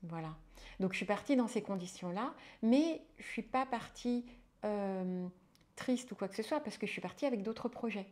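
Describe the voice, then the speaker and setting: A woman's voice: mid-range voice, animated, normal speed, a little mellow. A woman, a midwife turned hypnotherapist, talking in a casual interview with many fillers and restarts.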